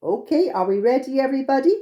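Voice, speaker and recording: Children's storytime host: Irish woman, high-pitched mellow voice, encouraging, simple direct address. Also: lively intonation, fast. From Ireland. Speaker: library storytime host leading songs and exercises.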